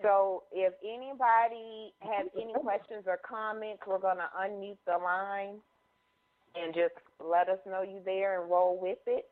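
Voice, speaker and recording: A woman's voice: medium pitch, fairly even intonation, a little slow. Encouraging woman host, effusive, phone-line sound. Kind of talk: casual conference-call chat.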